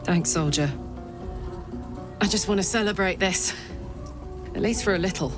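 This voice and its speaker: A woman's voice: mid-range voice, normal speed, music in the background, lightly acted. A woman acting a tough, big-hearted, defiant warrior in a fantasy video game, frank and emotionally open. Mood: pleading, heartfelt.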